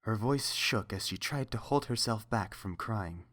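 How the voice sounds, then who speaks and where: Very deep-voiced female story narrator. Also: expressive, a little slow, a bit bright.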